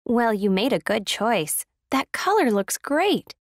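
A woman's voice: on the high side, very animated, takes it slowly, no room sound, clean studio sound, exaggerated voice. A woman playing a friendly, complimentary acquaintance in a scripted small-talk exercise. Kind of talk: scripted English listening-exercise dialogue.

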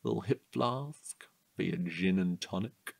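A man acting a chatty, curious night-watch guard in a naturalistic audio drama, husky and halting in a playful way. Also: low voice, no echo, exaggerated voice. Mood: playful, coaxing.

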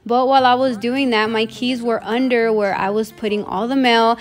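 A woman's voice: fairly high pitch, fairly even intonation, moderate pace. A woman in her thirties casually narrating her home cleaning in a voice-over. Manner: casual, chatty, matter-of-fact. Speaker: cleaning vlogger. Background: background music.

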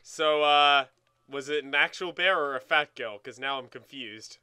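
A man's voice: on the high side, moderate pace, bright, forceful tone, smooth, no room sound, clean studio sound. A man co-hosting a let's play with joking, sweary casual banter, often repeating himself for emphasis. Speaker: let's play co-host and gamer. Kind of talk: casual banter over competitive gameplay.

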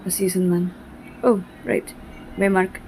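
A woman voicing a caring but uneasy young man in an amateur comic dub, with a halting delivery. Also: fairly low pitch, level tone, a little mellow, slight background noise, close to an everyday voice, poor audio. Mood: concerned.

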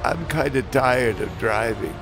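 A man narrating as a weary, guilt-ridden driver father, in a slow, brooding storytelling manner. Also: mid-range voice. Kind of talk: narrated motion comic. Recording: over loud music score.

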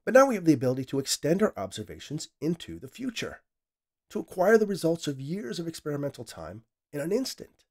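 Eager, excitable scientist, as a man puts him on. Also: on the high side, fast talker, voice pushed up, heavily acted. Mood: excited. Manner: raised, excited, emphatic delivery.

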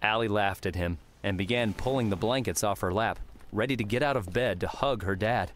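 A man's voice: fairly low pitch, fairly quick, a little hiss or hum. A man narrating scripted third-person fiction as an audiobook narrator. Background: music.

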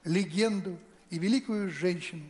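An older man reciting a poem slowly and dramatically, then introducing an award winner from a large stage. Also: sits high, darkish tone, a little hiss or hum. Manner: slow dramatic recital, then brisk announcing. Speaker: award presenter. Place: large concert hall stage. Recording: echoey hall with music under it.

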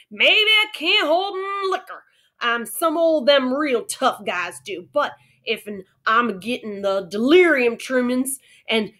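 Tiny bitter Texas cowboy, hollering drawl; woman reader puts it on. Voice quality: loud, drawling, high-pitched. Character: tiny hot-tempered male cowboy, loud and bitter.

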